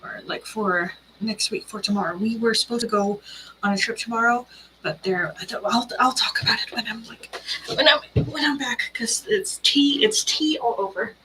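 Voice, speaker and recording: A woman with a bright, ringing voice pitched a bit high chats casually through her tarot live stream. She talks in a bubbly, rambling way, full of little fillers, greeting viewers and thinking aloud. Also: moderate pace, slight background noise, poor audio.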